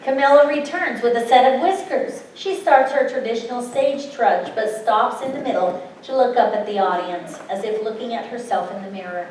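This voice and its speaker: A woman's voice: on the high side, moderate pace, hardly any pauses, a little mellow, a little hiss or hum. A woman reading stage directions as scripted narration at a staged theatre reading. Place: large echoey indoor venue. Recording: echoey hall, distant mic, music.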